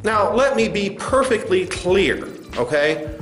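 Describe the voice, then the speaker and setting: Man as corporate spokesman, high voice, smug, condescending, satirical sketch speech, faint music behind. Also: lively intonation, slow, fairly bright tone, exaggerated voice. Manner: condescending, hesitant stammering doublespeak. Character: smug, dismissive male corporate spokesman facing angry creators. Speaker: spokesman at a press address. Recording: roomy echo with faint music.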